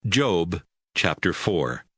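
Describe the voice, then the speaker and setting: A man's voice: deep voice, big pitch swings, drawn-out words, fairly bright tone, husky, no echo, clean studio sound. A man narrating a dramatized Bible as a scripted storyteller.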